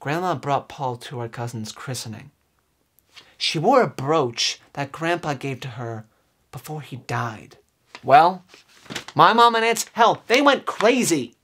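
A man in his twenties giving an earnest, overwrought dramatic reading, with emphatic phrasing and deliberate pauses. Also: mid-range voice, fairly bright tone. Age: 20s. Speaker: comedic performer. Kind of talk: theatrical dramatic reading of song lyrics. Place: indoors, small room with curtain backdrop.

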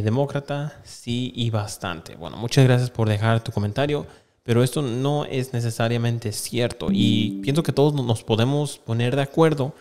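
A man in his thirties hosting an online channel, reading aloud and explaining in a casual, halting way, close to a desk microphone. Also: medium pitch, a little mellow. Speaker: YouTube host. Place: small indoor room with plain wall. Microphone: desk microphone close in front of him.

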